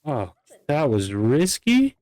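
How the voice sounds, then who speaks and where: A man's voice: mid-range voice, huge pitch swings, slow, a little mellow. A man talking casually and teasingly with dry delivery during a gaming roleplay. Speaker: Minecraft roleplay player.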